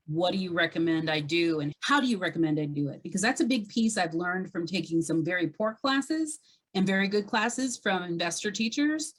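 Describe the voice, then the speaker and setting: A woman's voice: mid-range voice, low-quality recording. A woman caller, a real estate agent, giving conversational advice in an emphatic, explanatory way. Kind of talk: conversational advice on a group call.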